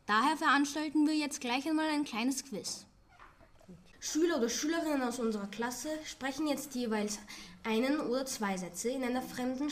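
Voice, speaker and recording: A young teenage girl with a high voice reads a scripted announcement for a class radio report. Her reading is measured, and there is faint noise in the background. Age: young teen.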